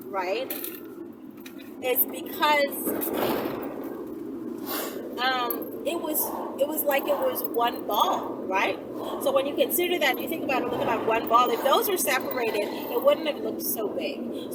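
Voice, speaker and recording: A woman chatting casually and hesitantly as a video host, circling back and repeating herself as she answers questions. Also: sits high. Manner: hesitant, repeats and circles back, often ends points with "right?". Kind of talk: casual rambling chat to camera. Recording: distant mic, loud vehicle and road noise.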